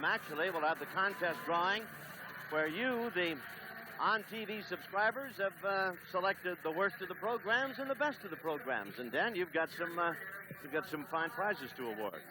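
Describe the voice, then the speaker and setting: A man doing play-by-play on a 1970s television soccer broadcast, briskly reading lineups and standings. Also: high-pitched, background noise. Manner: brisk, reads lineups and stats. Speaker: play-by-play announcer.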